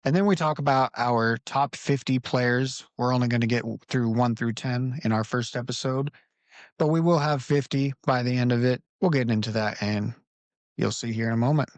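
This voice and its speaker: A man hosting a casual basketball podcast, talking in a relaxed, conversational way and leading the discussion. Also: mid-range voice, normal speed, dry recording. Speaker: podcast host. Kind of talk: casual sports podcast talk. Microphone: home recording setup.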